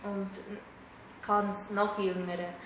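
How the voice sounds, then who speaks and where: A woman's voice: mid-range voice, level tone, drawn-out words. A middle-aged woman with a Sri Lankan accent, a native Tamil speaker, giving hesitant interview answers in simple phrasing. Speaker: interviewee, therapist. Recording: echoey room, clear background noise.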